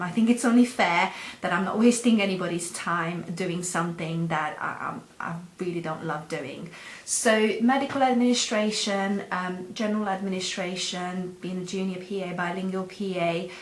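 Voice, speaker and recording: Hesitant woman, echoey room. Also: on the low side. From Hungary. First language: Hungarian. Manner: hesitant, many ums and uhs. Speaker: job seeker. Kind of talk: self-introduction video to employers. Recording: echoey room, faint background hum.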